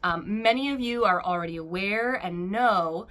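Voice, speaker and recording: A doll-collecting woman talks at home in a bright, somewhat sharp voice, rambling and circling back on herself. She is still recovering from illness, so she sounds hoarse and sniffly. Her pitch sits around the middle for a woman. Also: moderate pace. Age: adult. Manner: rambling, chatty, with restarts. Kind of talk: casual chat to camera. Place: warm room at home with a ceiling fan.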